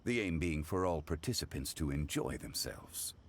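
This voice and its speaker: Learned gentleman consultant for a game: very deep, composed, measured, formally polite. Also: animated, slight background noise. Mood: thoughtful. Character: dignified, erudite gentleman consultant, calm and formal. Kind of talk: animated video game voice acting.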